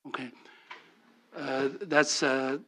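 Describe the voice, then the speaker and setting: A man from Taiwan, giving halting remarks full of fillers and restarts as a speaker at a policy forum. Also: medium pitch, level tone, sharp tone. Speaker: panel speaker.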